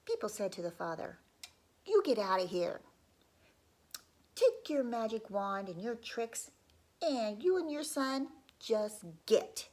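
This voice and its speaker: A woman reading a folk tale aloud to children, pausing to ask them questions and dropping into whispers. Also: a little high, big pitch swings, darkish tone. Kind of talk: children's read-aloud storytelling.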